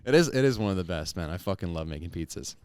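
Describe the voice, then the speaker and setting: A man's voice: deep voice, lively intonation, fast talker, a little mellow, clean tone, no echo. A man in his twenties, a podcast guest chatting casually, laid-back, swearing freely and laughing often. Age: 20s. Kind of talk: casual chat.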